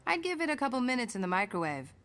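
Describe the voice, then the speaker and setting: A woman's voice: fairly high pitch, very animated, very fast, fairly bright tone. A woman playing a no-nonsense mother of a teenager in a comic drama, dry and knowing. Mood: wry, stern. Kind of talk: motion comic voice acting.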